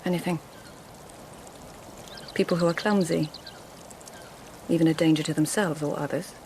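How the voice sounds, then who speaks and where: A poised, creaky-voiced court lady. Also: sits low, fairly even intonation, normal speed, darkish tone, a little hiss or hum. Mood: wry, teasing. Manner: poised, articulate, formal, teasing. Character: shrewd, witty lady-in-waiting at a Japanese imperial court. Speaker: actor in a radio drama. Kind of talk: 2000s radio drama acting.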